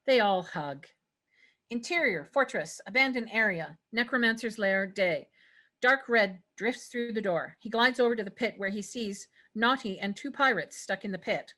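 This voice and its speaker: A woman's voice: medium pitch, normal speed, bright, forceful tone. A woman reading stage directions as narrator in a scripted fantasy table read.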